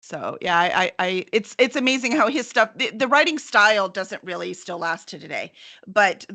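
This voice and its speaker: A middle-aged woman chatting casually and enthusiastically as an interviewer, quick to laugh. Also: medium pitch, expressive, pushed and bright. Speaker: interviewer and book editor.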